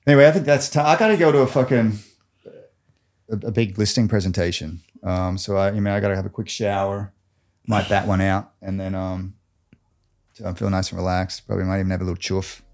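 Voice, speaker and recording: A man playing a boastful, crude real estate agent of about forty with an American accent, deadpan and halting in an improvised mock interview. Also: low voice. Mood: brazen, casual. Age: around 40. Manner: cocky, deadpan, swearing, halting.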